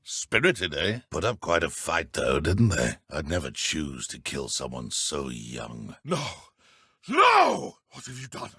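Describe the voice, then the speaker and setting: Give the game a haughty male dark wizard, pompous and taunting in old-fashioned phrases. His pitch leaps wildly from low murmurs to high outbursts, with a gravelly, husky edge. The delivery is theatrical and murderous. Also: huge pitch swings, takes it slowly, no room sound, clean studio sound, full character voice. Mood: anguished shouting. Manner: pompous, archaic diction, taunting. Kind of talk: video game combat voice clips.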